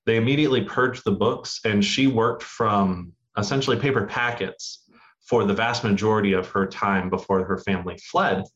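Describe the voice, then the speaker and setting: Young man guest, low voice, dull lo-fi line, earnest storyteller. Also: normal speed, a bit bright. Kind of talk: interview answers. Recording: dull lo-fi audio.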